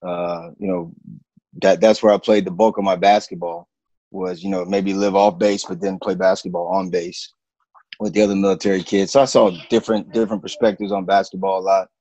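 Mellow low-voiced man, halting. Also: no echo, highs cut off. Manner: relaxed, halting with many fillers. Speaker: basketball coach, guest. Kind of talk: casual interview talk.

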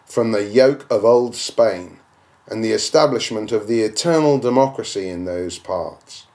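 A man's voice: lowish voice, a little slow, a little mellow. Man giving declamatory literary narration. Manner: declamatory, oratorical, with rhetorical questions. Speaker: audiobook reader.

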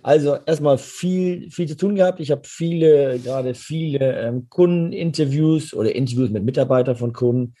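Hoarse male co-host, dark and husky, relaxed agreeable business chat. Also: medium pitch, normal speed, hardly any pauses. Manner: relaxed, agreeable, often affirming. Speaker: podcast co-host.

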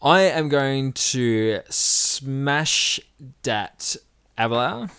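Man, joking podcast co-host, lively up-and-down pitch, low-ish voice. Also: extremely slow, clean tone. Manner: joking, playful banter. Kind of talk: casual chat.

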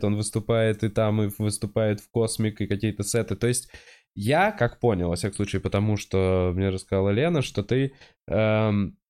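Male host, casual interviewer, smooth and clear voice. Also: mid-range voice, darkish tone.

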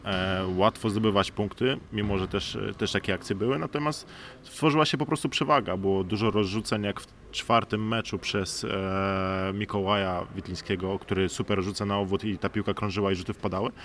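Low-voiced male athlete guest, faint noise. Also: steady tone, normal speed, fairly bright tone. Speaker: guest, professional basketball player. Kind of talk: casual sports analysis in an interview. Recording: faint background noise and ambience.

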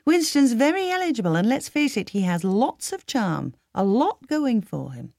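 A woman voicing a shrewd, wealthy grandmother nearing eighty, who speaks in a dry, knowing, gently teasing way. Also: on the high side, sing-song, moderate pace, vocal fry, dry recording, pitched above the performer's own voice.

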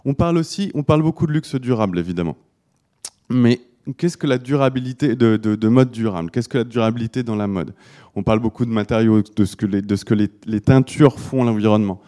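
A man's voice: mid-range voice, quick pace, dark tone. A man giving a live talk to an audience about design, often restarting his sentences. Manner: hesitant, many restarts and fillers. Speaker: speaker presenting to an audience.

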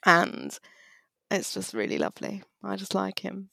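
Croaky woman losing her voice. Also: medium pitch, wildly swooping pitch, unhurried, pushed and bright, no echo, studio quality. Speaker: podcast co-host. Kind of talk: casual music review chat.

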